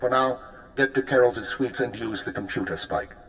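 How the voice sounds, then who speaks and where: An actor playing a calm spymaster handler briefing an agent in a video game, with a measured, controlled delivery. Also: male voice, mid-range voice, lightly acted. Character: calm male spymaster handler giving orders. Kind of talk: video game cut-scene acting. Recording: roomy echo.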